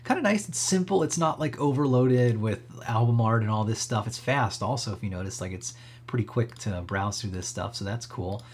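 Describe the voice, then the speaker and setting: A man giving a casual, upbeat software walkthrough as a tech stream host, talking in an easygoing, approving way. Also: fairly low pitch, lively intonation, normal speed, slight background noise.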